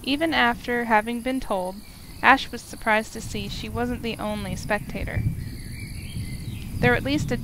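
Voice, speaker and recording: A woman's voice: on the high side, steady tone, moderate pace, noisy background. A woman reading scripted story narration aloud from a visual novel, as a let's player. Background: game music.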